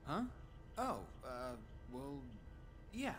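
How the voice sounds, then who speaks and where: A man's voice: medium pitch, big pitch swings, very slow, noisy background. A man voicing an awkward, timid young male colleague in a video game, hesitant and earnest. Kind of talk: English game cutscene acting.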